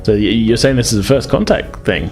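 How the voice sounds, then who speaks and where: A man playing a friendly, curious alien barman aboard a starship in a tabletop game, dry and gently teasing. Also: fairly low pitch, lively intonation, a little fast, faint music behind. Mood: puzzled.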